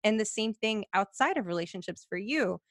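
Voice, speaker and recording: A woman's voice: mid-range voice, expressive, brisk, a bit bright, dry recording, studio quality. A woman hosting a podcast, chatty and enthusiastic, swearing casually as she responds to her guest. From United States. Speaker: podcast host.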